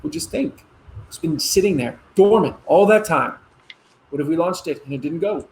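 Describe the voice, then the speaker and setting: A man's voice: fairly high pitch, expressive, moderate pace, creaky, darkish tone, a little hiss or hum, low-quality recording. A man playing an eager, placating adult son who is a military officer, in naturalistic play dialogue. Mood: eager, proud.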